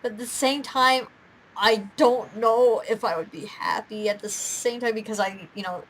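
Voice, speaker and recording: A woman's voice: on the high side, moderate pace, a bit bright, rough recording. A young woman hosting a casual chat, talking in a giggly, rambling way. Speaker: host of a fan gaming and quiz show.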